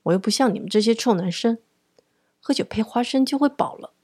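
A woman voicing an excitable, hungry, dramatic woman customer in a radio drama, exclaiming loudly and fussily. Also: a little high, a little fast, husky, no echo, studio quality, pitched above the performer's own voice. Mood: whiny. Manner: loud, fussy exclaiming.

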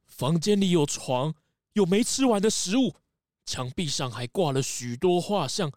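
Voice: mid-range voice, expressive, a little slow, a little mellow, dry recording, clean studio sound, natural voice. An actor voicing a fretful, indignant castle owner with a demanding, flustered manner in a children's audio drama. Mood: questioning.

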